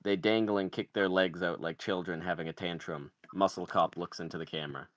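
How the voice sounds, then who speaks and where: Deep-voiced man reading stage directions. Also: steady tone, brisk, a bit bright, no echo. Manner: reads out screenplay directions. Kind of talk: scripted narration at a table read.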